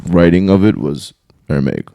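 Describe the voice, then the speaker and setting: A man's voice: deep voice, big pitch swings, normal speed, creaky, warm tone, no echo. A man with a Southern accent hosting a podcast, blunt and opinionated, often cutting in over the others. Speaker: podcast host. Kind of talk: casual podcast chat.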